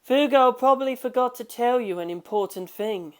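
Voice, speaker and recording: A woman's voice: fairly high pitch, normal speed, clean tone, pitched above the performer's own voice, close to an everyday voice. A woman voicing a self-assured young male gangster hero in a story, assertive and matter-of-fact. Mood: cool assurance.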